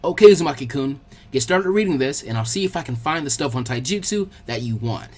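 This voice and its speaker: Male narrator as teenage girl bookworm, friendly, teasing, practical, lively intonation, story character. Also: mid-range voice, fairly quick, natural voice. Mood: impressed. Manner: chatty, gently teasing, practical. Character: friendly, bookish teenage girl apprentice, gently bossy.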